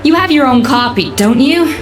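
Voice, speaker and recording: A woman's voice: sits high, animated, moderate pace, music in the background. An actress playing a reserved, slightly flustered woman chef in a video game. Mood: puzzled, guarded. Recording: echoey room, faint noise.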